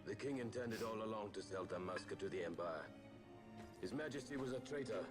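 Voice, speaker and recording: An actor playing a proud military captain in a video game, grave and defiant. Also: male voice, lowish voice, brisk, music in the background, close to an everyday voice. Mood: grim accusation. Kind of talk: video game drama acting.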